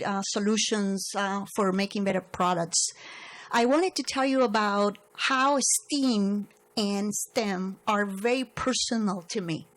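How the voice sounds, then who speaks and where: A middle-aged woman from Venezuela with a Venezuelan Spanish accent, speaking earnestly on a panel with many "um" and "uh" fillers. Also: mid-range voice. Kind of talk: panel talk.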